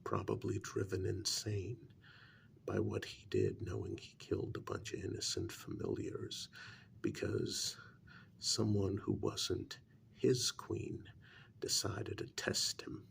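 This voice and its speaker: A man playing an intense, devoted human familiar in a soft-spoken ASMR role-play, speaking in a slow, hushed, deliberate half-whisper with gravel in it. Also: lowish voice, big pitch swings, a little hiss or hum, heavily acted. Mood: somber, musing.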